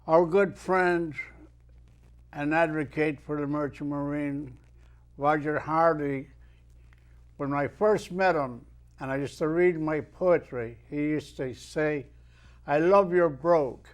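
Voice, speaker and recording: An Irish man in his eighties, a retired mariner guest telling stories and reciting his own poetry in a measured way. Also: a little high. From Ireland. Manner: measured storyteller who declaims verse with swelling emphasis. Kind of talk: unhurried storytelling and poetry recitation.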